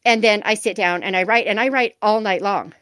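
A woman's voice: medium pitch, brisk, fairly bright tone, clean tone, no room sound. A woman in her forties with a nasal voice, chatting candidly and self-deprecatingly as an author being interviewed. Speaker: author guest. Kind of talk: casual interview answers.

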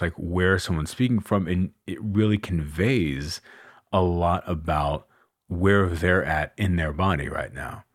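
Deep-voiced guy doing a solo coaching podcast, slow musing talk, dry close mic. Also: no room sound. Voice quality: deep chest voice. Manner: unhurried, musing, many fillers.

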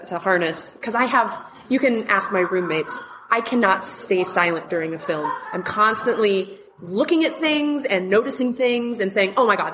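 A woman talking casually as a guest on a podcast, loud and outspoken, often breaking into laughter. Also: medium pitch, a little hiss or hum. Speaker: podcast guest and film reviewer.

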